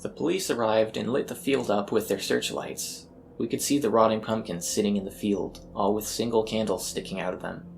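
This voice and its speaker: A man's voice: on the low side, fairly even intonation, a little fast, gravelly, a little hiss or hum. A man narrating a scripted first-person horror story as its storyteller. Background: eerie ambient music.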